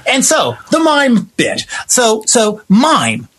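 A middle-aged American man explaining things in an animated, conversational way, with a theatrical storyteller's habit of acting out little quotes. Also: very high voice, slow pace, over music. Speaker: guest, theater playwright, actor and director.

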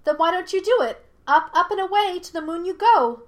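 A mischievous monkey spirit out of a storybook, acted out by a woman. The voice is very high and childlike, taunting and petulant, and slides into a teasing sing-song. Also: animated, fairly quick.

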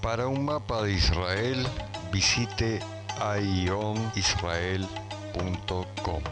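A man reads a short radio ad with a deep, low voice. He speaks very slowly and spells things out letter by letter over loud music. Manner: slow, spelled-out delivery. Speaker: announcer. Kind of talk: radio ad read.

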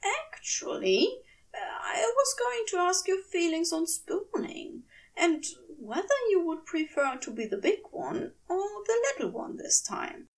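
A woman voicing a gentle, fussy male angel in a story, with prim, old-fashioned, hesitant and earnest speech. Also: extremely high pitch, sing-song, unhurried, distant mic, pitched above the performer's own voice, full character voice, low-quality recording. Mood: shy, fond.

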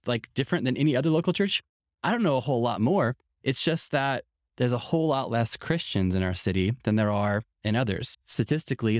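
A man's voice: fairly low pitch, no room sound, studio quality. A man who pastors a church in Ireland, talking earnestly in a podcast conversation and often saying "like". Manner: earnest, emphatic, peppered with "like".

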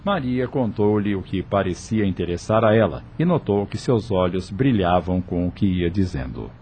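A man narrating a radio drama from a script in a measured storytelling style, with a husky voice. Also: sits low, expressive, darkish tone, a little hiss or hum.

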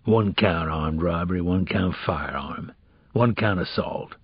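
A narrator, a man, plays a weary male ex-con in a crime audiobook. He is a troubled father, earnest and plainspoken, full of street slang. The voice is husky and the sound dry and a little dull. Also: deep voice, unhurried, dry recording. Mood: resigned, firm. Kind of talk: audiobook character dialogue.

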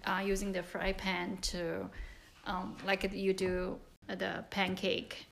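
Halting, gravelly middle-aged woman, slow. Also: medium pitch, completely flat delivery, fairly bright tone, a little hiss or hum. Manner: halting, many fillers, nonnative grammar. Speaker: mother of a host, interviewed. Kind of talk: recorded interview explaining a recipe.

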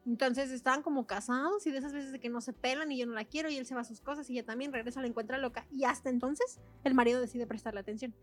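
A woman's voice: high-pitched, steady tone, very fast, hardly any pauses, soft background music. A young woman with a Mexican accent, co-hosting a casual book chat, slangy and chatty with laughs between words. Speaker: podcast co-host.

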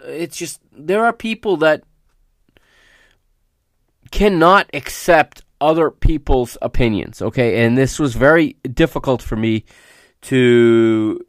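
Slightly raspy, emphatic male podcast host. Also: medium pitch, no room sound, studio quality. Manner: emphatic and halting, often checks in with "okay?". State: slightly raspy voice recovering from illness. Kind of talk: unscripted solo sports commentary.

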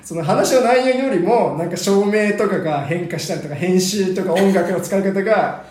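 A man chatting casually as a radio program host, explaining in a halting, think-aloud way. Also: high voice, a little mellow. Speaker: host of a talk and role-play radio program. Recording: echoey room.

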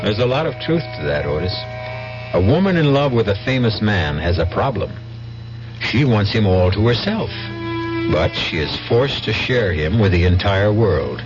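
A man's voice: on the low side, sing-song, moderate pace. A man hosting a 1970s radio drama, addressing listeners in wry, scripted narration. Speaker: host. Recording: muffled vintage radio broadcast. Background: music.

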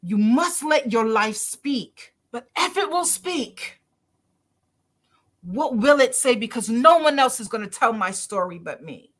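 A woman's voice: fairly high pitch, lively intonation, measured pace, fairly bright tone. A middle-aged woman from Barbados, a doctor talking in an interview, earnest and emphatic, repeating her key phrases. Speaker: doctor and podcast guest. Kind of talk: conversational interview.

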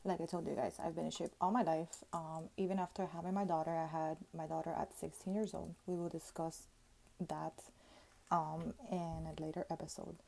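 A woman's voice: deep voice, flat delivery, normal speed, vocal fry, mellow. A woman in her thirties hosting a casual gossip podcast, chatty and loose, with lots of "like" fillers and casual swearing. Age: 30s. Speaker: podcast host.

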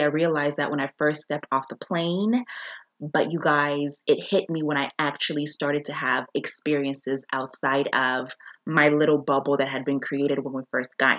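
A woman's voice: low voice, fairly bright tone, highs cut off. A woman from Zambia hosting her own podcast, talking in a reflective, earnest way with frequent restarts. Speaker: podcast host. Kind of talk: casual solo podcast introduction.

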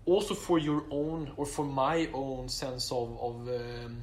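A man answers interview questions in English, which he learned as his third language. He speaks in a measured, hesitant way, with frequent fillers and restarts. There is a faint hum of background noise. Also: medium pitch, little pitch movement, a little mellow. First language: not English; English is his third language. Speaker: interviewee, Oxford applicant.

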